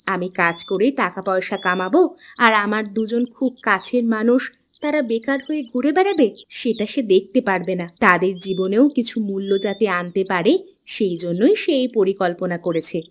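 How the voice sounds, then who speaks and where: A woman's voice: mid-range voice, expressive, moderate pace, natural voice. A woman playing a chatty, earnest young married daughter in a cartoon folk tale. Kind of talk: Bengali animated folk-tale dialogue. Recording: clear background noise, chirping birds.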